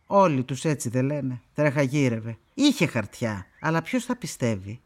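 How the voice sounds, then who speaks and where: A woman's voice: a bass voice, sing-song, heavily acted. Stern, guarded old farm woman. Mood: guarded, matter-of-fact. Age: older. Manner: dismissive, curt. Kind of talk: naturalistic radio drama acting.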